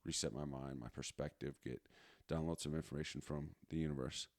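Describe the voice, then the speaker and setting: Male podcast host, late 30s, low voice, dry sound. Informal, sweary, unscripted rambling. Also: fairly even intonation, brisk, no echo. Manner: profane, slangy, informal. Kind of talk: casual rambling solo chat.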